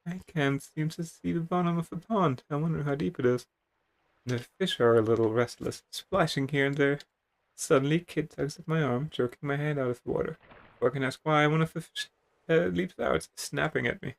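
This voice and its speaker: A man's voice: on the high side, moderate pace, gravelly. A man reading a text adventure game aloud in a dramatic storytelling way, now and then tripping over words. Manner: theatrical, stumbles over words while reading.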